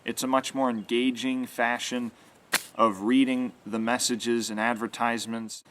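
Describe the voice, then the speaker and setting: A man's voice: mid-range voice, flat delivery, unhurried, fairly bright tone. A young man giving an earnest instructional explanation to camera, stressing his key words. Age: young adult. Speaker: sports announcing instructor. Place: outdoors in a yard with trees. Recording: clear outdoor background noise.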